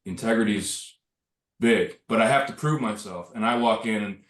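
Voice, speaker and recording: A man with a deep voice, a handyman, tells animated stories with self-deprecating humor and acts out what others say. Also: normal speed. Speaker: handyman or contractor. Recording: echoey room.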